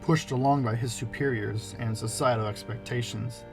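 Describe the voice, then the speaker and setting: A man's voice: medium pitch, fairly even intonation, normal speed, creaky, over music. A man reading scripted narration for a video essay.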